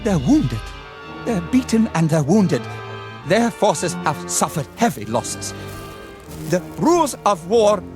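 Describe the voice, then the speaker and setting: An actor playing a shaken, compassionate army lieutenant in a radio drama, hesitant, earnest and pleading. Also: male voice, sits high, big pitch swings, slow, heavily acted. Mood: anguished protest. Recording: music under the voice.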